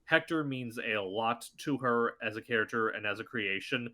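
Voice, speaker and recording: Man, guest voice actor, crisp bright tone, casual rambling chat. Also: medium pitch, normal speed. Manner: chatty, rambling with many restarts. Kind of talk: casual podcast interview.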